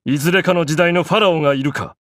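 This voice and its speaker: An actor playing an arrogant, grandiose young pharaoh in an anime game, declaiming in an imperious, pompous way. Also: male voice, fairly high pitch, fast, dry recording, clean studio sound, close to an everyday voice. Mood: proud, commanding.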